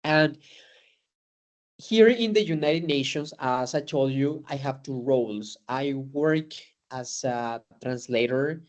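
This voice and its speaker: Spanish-speaking man giving a halting lecture. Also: mid-range voice. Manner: halting, many fillers and restarts, tag questions. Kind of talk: informal lecture to students.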